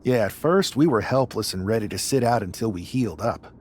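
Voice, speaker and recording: A man playing a reflective, plain-spoken wrestler with a husky voice in a video game cutscene. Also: fairly low pitch, animated, a little fast. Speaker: pro wrestler character.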